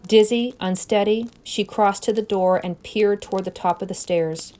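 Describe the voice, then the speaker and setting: A woman reading a scary story aloud as narrator, expressive and suspenseful, with deliberate phrasing. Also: mid-range voice. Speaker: story reader.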